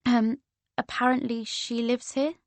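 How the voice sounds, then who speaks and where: A woman voicing an earnest, nervous teenage girl in an audiobook, speaking in a hesitant, halting way. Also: on the high side, flat delivery, no echo, natural voice. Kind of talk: character dialogue in an audiobook.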